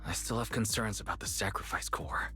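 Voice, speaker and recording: Chill matter-of-fact young dude, lowish voice, music under. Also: male voice, fairly quick, bright, forceful tone. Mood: calm. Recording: game audio with music behind.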